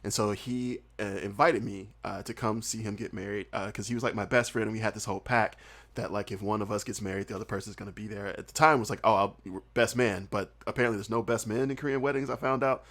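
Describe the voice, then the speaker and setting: A young man with a faint Southern US accent, telling stories as a podcast guest, animated and laughing often, full of "like" and "uh". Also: lowish voice, fast. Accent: Southern US, mostly hidden. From southern United States. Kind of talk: casual storytelling chat.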